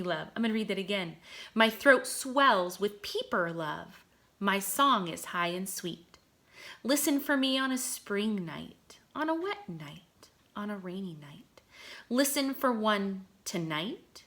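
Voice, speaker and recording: A woman teacher reading poetry aloud to young children, explaining as she goes and putting questions to her listeners in an expressive way. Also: medium pitch. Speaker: kindergarten teacher reading to pupils. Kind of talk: read-aloud lesson for young children, explaining and reciting poems.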